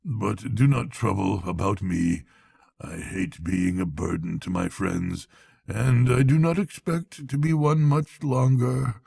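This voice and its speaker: A man voicing a languid storybook toad, feeble and murmuring, playing the mournful martyr as if gravely ill. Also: fairly low pitch, slow, dark tone, no room sound, exaggerated voice. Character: languid, self-pitying male toad feigning grave illness.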